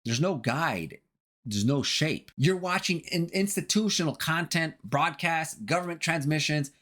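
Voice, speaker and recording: A man's voice: a little high, a little slow, a bit bright. A man narrating a scripted horror video essay.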